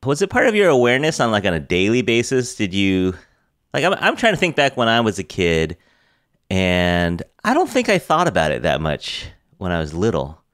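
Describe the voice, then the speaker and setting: Lively, smooth-voiced male podcast host. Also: lowish voice, normal speed, no echo, studio quality. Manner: curious, laughs along, rephrases questions. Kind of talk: casual interview questions.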